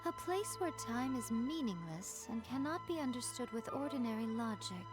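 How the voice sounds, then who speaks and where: Brief: playful, knowing fox-spirit priestess, fairly high voice, soft game music behind. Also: female voice, very animated, normal speed. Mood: wry. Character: sly, teasing fox-spirit shrine priestess. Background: game soundtrack music.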